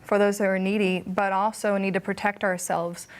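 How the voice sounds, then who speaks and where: A young woman in a Bible study group, speaking in a thoughtful, measured way with frequent fillers. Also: medium pitch, little pitch movement. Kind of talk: discussion group chat.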